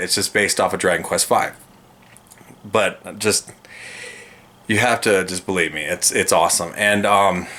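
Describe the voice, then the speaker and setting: Gushing man with a bright voice. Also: on the low side. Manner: gushing, rambling with restarts. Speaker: video game reviewer. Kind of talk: casual enthusiastic review to camera.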